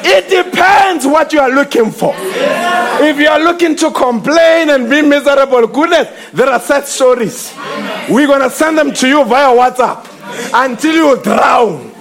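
A pastor preaching at full volume in a very high, gravelly voice. He hammers his points home and repeats his slogans. A loud congregation can be heard behind him. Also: a little slow, crowd noise. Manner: shouting, emphatic, repeats slogans. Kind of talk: fiery preaching sermon. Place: church service with congregation.

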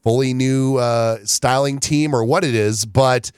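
A man's voice: medium pitch, measured pace, clean tone, dry recording. Man with a cold, dry close sound. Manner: relaxed, chatty, many fillers like "you know". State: has a cold. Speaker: podcast co-host. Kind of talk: casual chat.

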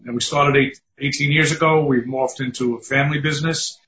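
A man's voice: mid-range voice, steady tone, fairly quick, a bit bright, a little hiss or hum. An older man with a New York accent, a recruiting firm founder talking as a podcast guest over a remote call, chatty with dry self-deprecating asides. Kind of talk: podcast interview. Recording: dull lo-fi remote audio.

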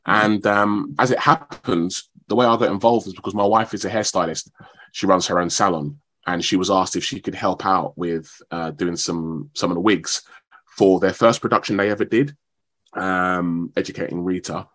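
A man, talking as a guest in a radio interview in a modest, measured, slightly halting way. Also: sits low, steady tone, a bit bright. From London, England. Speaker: actor, radio show guest.

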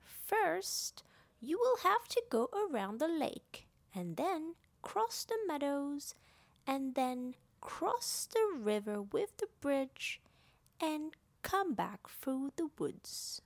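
A woman plays a bossy, watchful storybook owl. He announces instructions loudly in a high-pitched, animated voice. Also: slow, vocal fry, exaggerated voice. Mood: instructing. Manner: loud, announcing.

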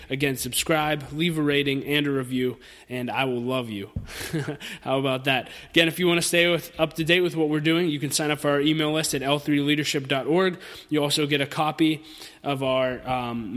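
A man of about thirty hosts a solo podcast in a slightly high voice. He talks directly to the listener in an earnest, encouraging and informal way. His voice carries a long, hall-like reverb. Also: a bit bright. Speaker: podcast host.

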